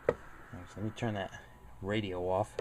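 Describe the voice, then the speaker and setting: Man chatting over clearly noisy handheld recording. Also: sits low, level tone, moderate pace. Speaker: radio hobbyist vlogger. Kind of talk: casual hands-on narration. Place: outdoors and in a home radio room. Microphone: handheld camera mic.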